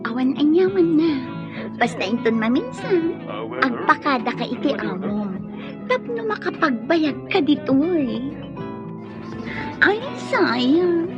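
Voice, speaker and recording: High-pitched, expressive young woman. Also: slow pace, pauses often, full character voice. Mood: chatty. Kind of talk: Ilocano radio drama acting. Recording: muffled audio under loud background music.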